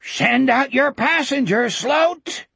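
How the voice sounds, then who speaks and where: A man voicing a menacing, inhuman troll in an audiobook, with hoarse howling and drawn-out taunts. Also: very high voice, bright, forceful tone, no room sound, pitched above the performer's own voice, heavily acted. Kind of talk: dramatic audiobook character voice.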